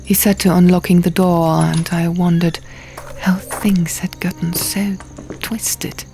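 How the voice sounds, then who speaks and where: A woman narrating fiction as a scripted, dramatic audiobook, hushed and often near a whisper. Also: fairly low pitch, level tone, takes it slowly, vocal fry. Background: soft music.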